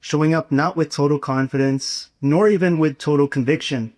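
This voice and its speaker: A young man delivering a prepared, inspirational speech as a student speaker, with earnest, measured, rhetorical phrasing. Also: on the high side, a little mellow. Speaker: student speaker at a campus gathering.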